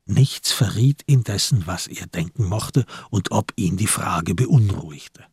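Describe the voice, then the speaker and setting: A man reading scripted literary narration as the narrator of a radio play, with a husky voice. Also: on the low side, expressive, a little slow, darkish tone, no echo, studio quality.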